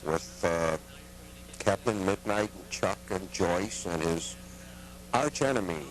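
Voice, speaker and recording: A man chatting as a guest about his collection, talking hesitantly with many pauses. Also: low voice, a little hiss or hum, low-quality recording. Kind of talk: casual chat.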